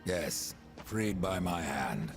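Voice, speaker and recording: A man's voice: very animated, takes it slowly, over music, full character voice. An actor playing a grim, imperious undead horseman in a video game, with a curt, dry manner. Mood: proud, curt. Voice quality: very deep, gravelly.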